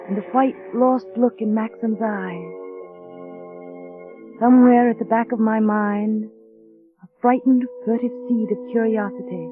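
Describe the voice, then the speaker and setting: A woman's voice: medium pitch, natural voice. Woman playing timid young wife, tinny old radio. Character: timid, anxious young wife narrating gothic story. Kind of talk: 1940s radio acting. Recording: thin, band-limited vintage radio recording.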